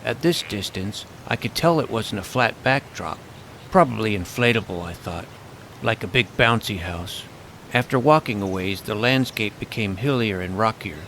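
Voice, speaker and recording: A man's voice: fairly low pitch, normal speed, a bit bright, slight background noise. A man narrating a comic fantasy adventure in the first person as its self-important young hero.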